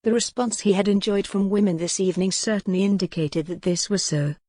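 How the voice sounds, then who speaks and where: A woman's voice: mid-range voice, steady tone, fairly quick, dark tone, dry recording, studio quality. A woman reading scripted narration from a historical romance novel as an audiobook narrator.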